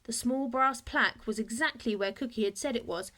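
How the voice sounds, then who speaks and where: A woman reading a children's story aloud in expressive narration, like a teacher to a class. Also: a little high, a little fast.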